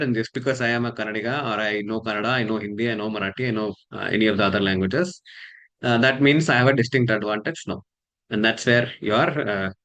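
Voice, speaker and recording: A man from Karnataka with an Indian English accent, a coach talking casually to camera, measured and halting with many fillers. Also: medium pitch, a bit bright, rough recording.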